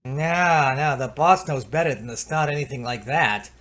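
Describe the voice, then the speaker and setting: An actor playing a chatty, excitable technician with an American accent, colloquial, in an old-time radio drama. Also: male voice, mid-range voice, moderate pace, close to an everyday voice. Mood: dismissive. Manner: stammering when flustered, colloquial. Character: excitable working-man technician, chatty and easily alarmed.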